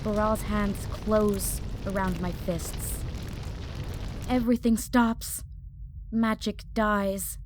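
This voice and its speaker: A woman's voice: medium pitch, fairly even intonation, slow pace, background noise, close to an everyday voice. A woman playing a hot-headed young female fire mage, narrating an audio drama in the first person with intense, clipped phrases. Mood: urgent, determined.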